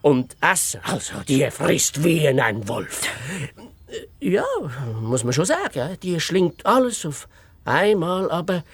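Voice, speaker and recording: A cocksure fox detective blusters through a children's fairy-tale radio play. The delivery swings widely in pitch, bossy and self-important, with a Swiss German accent. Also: expressive, slow, husky, no room sound, heavily acted. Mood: placating, blustering. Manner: pompous, dismissive, blustering.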